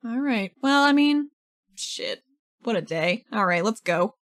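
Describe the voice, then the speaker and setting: A woman's voice: on the high side, expressive, measured pace, dry recording, clean studio sound. A woman playing a wry, unbothered female vigilante, casual and needling, in a tabletop roleplaying game.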